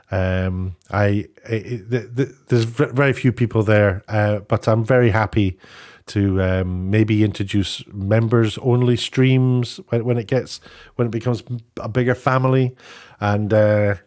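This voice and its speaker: A man's voice: fairly low pitch, fairly even intonation, unhurried, darkish tone. A man giving casual, rambling opinion commentary as an online video host, often posing rhetorical questions, slightly sniffly.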